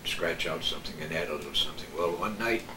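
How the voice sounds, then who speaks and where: An older man, a veteran radio broadcaster, reminiscing casually and telling anecdotes in a halting, hesitant way. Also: low-pitched. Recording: roomy, distant mic, noisy background.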